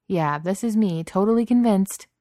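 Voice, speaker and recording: A woman's voice: low voice, moderate pace, a little mellow, dry recording, studio quality, close to an everyday voice. Woman voicing a wry, skeptical man, dryly recorded. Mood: wry, amused. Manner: wry, sarcastic, casual. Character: wry, bold human man, amused and skeptical. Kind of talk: audiobook character voice.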